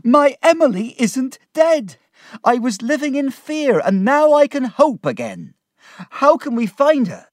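A man's voice: medium pitch, big pitch swings, slow, husky, no echo, clean studio sound, heavily acted. A man voicing an anxious, devoted family man in an audiobook, impassioned and exclaiming. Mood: fervent hope. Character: anxious, devoted uncle-like man searching for lost Emily. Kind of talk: audiobook character acting.

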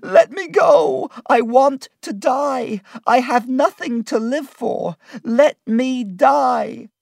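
A man's voice: high-pitched, big pitch swings, slow, no echo, clean studio sound, voice pushed up, heavily acted. A man voicing a desperate, sorrowful young woman from the streets in an audiobook, pleading and emotional. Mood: anguished, despairing. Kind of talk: audiobook character acting.

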